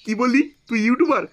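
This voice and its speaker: A man's voice: very high voice, background noise. A man voicing a crude, scornful buddy in a comedy cartoon, with a West Bengal accent. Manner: crude, needling, dismissive.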